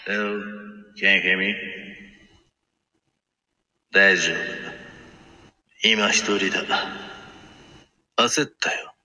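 A man's voice: low-pitched, steady tone, extremely slow, pauses often, pushed and bright, heavily acted. A man playing a guarded, proud gunman in a Japanese voice drama, with brooding, clipped dramatic delivery. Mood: tense, confident. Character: guarded, proud male gunman, US military background. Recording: echoey reverb with music underneath.

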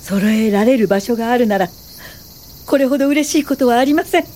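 A woman's voice: on the high side, warm tone, slight background noise, natural voice. An actress in a radio drama playing an earnest, principled woman educator and social worker, speaking politely and thoughtfully. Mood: resolved. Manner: polite, thoughtful, measured delivery. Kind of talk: radio drama dialogue and reflective first-person narration.

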